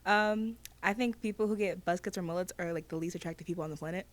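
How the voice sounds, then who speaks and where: A teenage girl sharing an opinion quickly, giggling as she talks. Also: lowish voice, a little hiss or hum. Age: teens. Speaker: student giving opinion.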